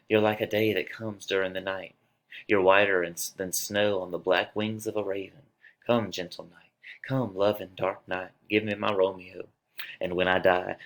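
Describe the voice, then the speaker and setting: A man's voice: deep voice, a bit bright. A man playing a lovesick young girl in a dramatic reading of a tragedy, declaiming with open emotion. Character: lovesick young girl, impatient, then anguished and grieving.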